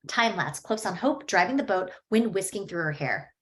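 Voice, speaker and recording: A woman's voice: mid-range voice, lively intonation, moderate pace, close to an everyday voice. A woman reads stage directions as scripted narration at a table read.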